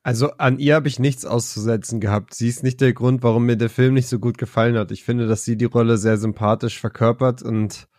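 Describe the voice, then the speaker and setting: A man's voice: medium pitch, fairly even intonation, fairly quick, hardly any pauses, darkish tone, no echo, clean studio sound. A man co-hosting a podcast in casual chat, giving frank opinions with hesitant fillers. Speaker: podcast co-host.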